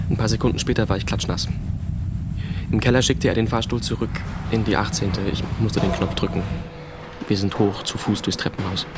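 A man's voice: fairly low pitch, noisy background, natural voice. An actor playing a sullen, insecure teenage boy in a naturalistic radio drama, speaking in a hesitant, colloquial way. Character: sullen, insecure fourteen-year-old boy, younger brother of a soldier.

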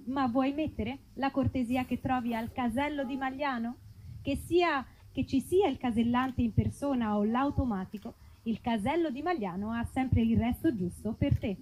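Woman doing deadpan fake ads and narration, mellow highish voice, bit of background noise. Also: rough recording. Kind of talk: mock advertisement and dramatic sketch narration.

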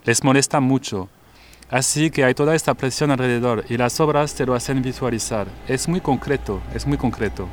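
A man's voice: medium pitch, normal speed, a little hiss or hum. A man giving conversational testimony in a documentary.

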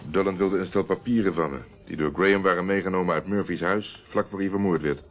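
An actor playing a dogged, self-assured private investigator in a radio drama, matter-of-fact and clipped, on a narrow old radio recording. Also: male voice, low voice, fairly even intonation, fast talker. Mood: guarded, insistent.

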